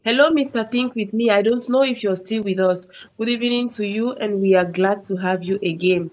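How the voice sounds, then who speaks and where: A woman's voice: medium pitch, brisk, darkish tone, lo-fi sound. A woman from Cameroon hosts a live-stream discussion, enthusiastically welcoming viewers. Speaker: host.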